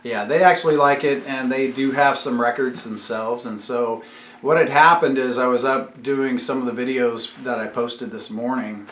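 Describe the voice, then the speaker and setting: A man's voice: mid-range voice, fairly bright tone. Guy doing a casual explainer vlog at home, teacherly, roomy echo, bit of background noise. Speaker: YouTube channel host and father. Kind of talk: casual explanatory vlog, chatting with his children. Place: room at home. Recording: echoey room with faint background noise.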